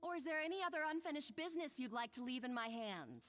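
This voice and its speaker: A woman's voice: high-pitched, animated, quick pace, a bit bright. A woman playing a smug, scheming rival chef in an anime-style video game, haughty and theatrical in delivery.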